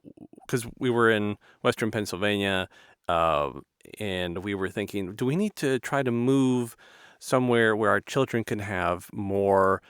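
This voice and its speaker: A man's voice: on the low side, fairly bright tone, smooth, no room sound, studio quality. An American man hosting a conversational interview, hesitant and rephrasing as he goes. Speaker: interview host.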